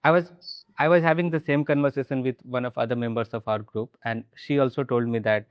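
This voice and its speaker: A man with a Bihari Indian English accent who trains English learners. He talks in a relaxed, encouraging, conversational way on a video call. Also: mid-range voice, a little fast, dry recording.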